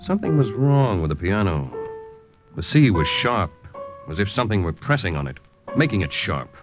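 A cool, calculating wartime undercover agent in vintage radio drama. His delivery swings widely in pitch, and music sits behind him on an old recording. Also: sing-song, normal speed. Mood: grave, confiding. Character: male OSS agent posing as Nazi collaborator, cool, calculating. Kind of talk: 1940s-50s radio drama acting and first-person narration.

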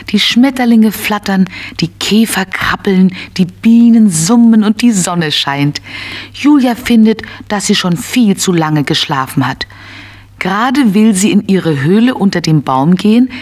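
A woman's voice: medium pitch, a little mellow. A woman narrating a scripted children's story as part of a radio play.

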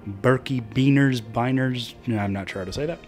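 A man's voice: mid-range voice, moderate pace, faint music behind. A young man in his twenties, an upbeat vlogger explaining a sport in a chatty way from a cozy living room. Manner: chatty, upbeat, slangy asides. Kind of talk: casual explainer vlog.

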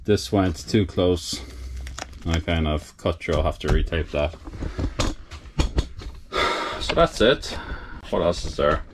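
Slow, low-voiced man narrating DIY work. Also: background noise. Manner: relaxed, matter-of-fact, talks to camera while working. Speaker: DIY renovator. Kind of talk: casual work commentary. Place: indoors, farmhouse under renovation.

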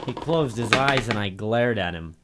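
An actor playing a brash, wisecracking detective's assistant and narrator, breezy and cheeky, in a 1940s radio drama. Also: male voice, lowish voice, animated, normal speed, heavy background noise, lightly acted. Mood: wry narration.

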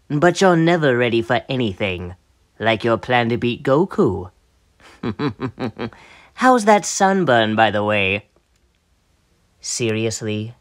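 A man voicing a vain, sadistic alien tyrant from an anime, theatrical and mockingly polite as he taunts and gloats. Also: mid-range voice. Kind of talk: anime villain voice demo, acted character lines.

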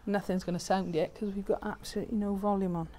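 A woman's voice: mid-range voice, steady tone, quick pace, dark tone, slight background noise. A British woman giving casual instructions while setting up sound equipment in a large room. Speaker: band sound setter-up and vlogger. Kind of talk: casual instructions during PA setup. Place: large bright community hall room.

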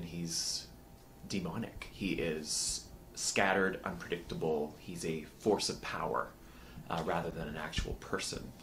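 A man in his thirties gives an interview in a very deep voice. His delivery is emphatic and dramatic, over a little room noise. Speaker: amateur stage actor. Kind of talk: cast interview. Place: small indoor room.

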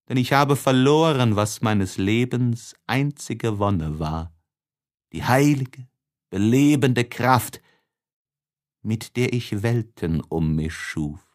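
A man playing a tormented, lovesick young man in an audiobook of a classic novel, emotive and halting, with heavy pauses. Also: mid-range voice. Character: tormented, lovesick young man writing despairing diary letters.

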